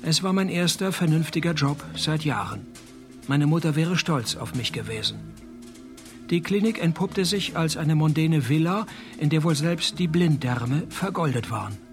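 A fairly high-pitched man as a wry private detective in a radio play. His narration and dialogue are dry, sarcastic and deadpan, with faint music. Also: moderate pace. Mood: wry, matter-of-fact. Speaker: narrator and lead character.

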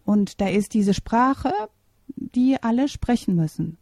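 A middle-aged woman and mother, speaking as an interview guest and teacher, giving thoughtful, measured answers with small hesitations. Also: medium pitch, lively intonation, warm tone, no room sound, studio quality.

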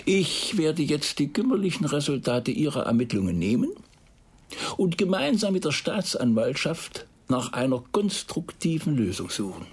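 An actor playing a patronising police chief in a radio crime drama, lecturing in a cutting, rhetorical way with a husky, gravelly voice. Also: male voice, on the high side, very animated, moderate pace. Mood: lecturing, condescending. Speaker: head of a police investigation unit.

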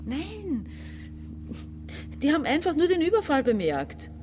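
A woman's voice: sits high, huge pitch swings, a little slow, background noise, exaggerated voice. An actress playing a domineering, exasperated woman around forty in a radio drama, impatient and sardonic. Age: 40s. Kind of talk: radio drama dialogue and monologue.

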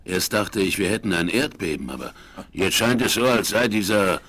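An actor playing a gruff, incredulous Western sidekick in a radio play, blustery and exclamatory. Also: male voice, low voice, lively intonation, moderate pace, a bit bright. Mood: alarmed, baffled. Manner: blustery, exclamatory, English oaths. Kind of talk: 1970s radio play acting.

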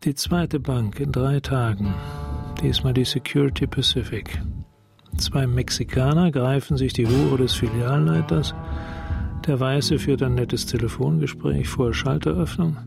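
An actor in a German radio drama playing a driven police detective, speaking in clipped, deliberate fragments. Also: male voice, medium pitch, creaky. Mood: focused, brooding. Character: terse, driven police detective working a case. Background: guitar music.

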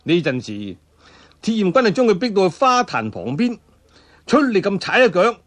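A high-voiced Cantonese man narrates an action tale as a traditional storyteller. His delivery swings widely in pitch, colloquial and dramatic, built for vivid fight scenes. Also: very animated, moderate pace. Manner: dramatic, colloquial, with spoken sound effects and interjections.

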